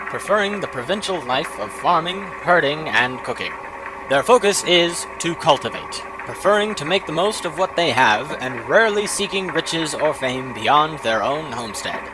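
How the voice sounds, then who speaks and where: A man's voice: a little high, noisy background. A man reading formal, scripted fantasy lore like an encyclopedia entry. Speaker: narrator of a lore excerpt.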